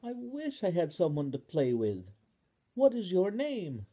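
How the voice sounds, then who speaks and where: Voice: medium pitch, wildly swooping pitch, a little slow, warm tone, slight background noise, voice pushed up. A storyteller voicing Spoon, a lonely young female talking spoon who is delighted to find a friend, in a children's story.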